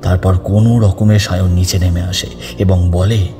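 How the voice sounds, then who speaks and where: A man's voice: sits low, fairly even intonation, moderate pace, noisy background. A man narrating a scripted horror story as an audiobook narrator. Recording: long reverb over background music.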